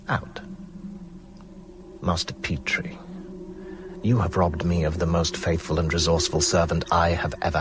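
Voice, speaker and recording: A man's voice: low voice, background noise. An actor playing an ancient, cunning male vampire in a radio horror drama, suave, gloating and mockingly courteous. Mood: suave, boastful, then vengeful, gloating. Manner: theatrical, slow, mockingly courteous.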